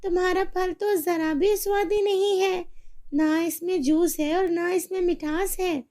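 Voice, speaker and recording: A woman's voice: very high voice, fairly even intonation, unhurried, warm tone. A woman voicing a greedy, rude market auntie in a cartoon fairy tale, bossy and dismissive.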